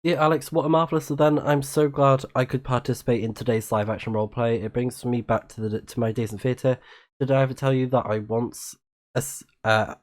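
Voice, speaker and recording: A man voicing a pompous, jovial, theatrical gentleman as he reads a florid letter from a video game. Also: medium pitch, level tone, fairly quick, natural voice. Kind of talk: reading a game character's letter aloud.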